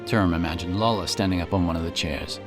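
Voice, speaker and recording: A man's voice: deep voice, quick pace. A man reading scripted fantasy audiobook narration, with music playing behind him.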